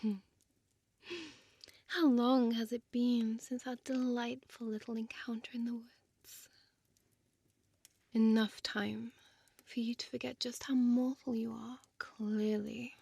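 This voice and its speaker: A woman's voice: on the high side, level tone, takes it slowly, vocal fry, pauses often, dark tone, slightly rough, no room sound, full character voice. A woman playing a seductive, menacing female vampire in an intimate audio roleplay, toying with her prey in a teasing half-whisper and archaic wording. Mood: condescending, menacing.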